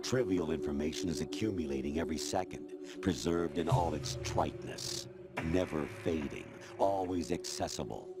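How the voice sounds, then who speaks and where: Very deep, cold machine intelligence. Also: male voice, animated. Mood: cold, lecturing. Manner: measured, authoritative, matter-of-fact lecturing. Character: formless male artificial intelligence posing as colonel, coldly lecturing. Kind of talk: video game cutscene acting. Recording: loud music behind game dialogue. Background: loud music.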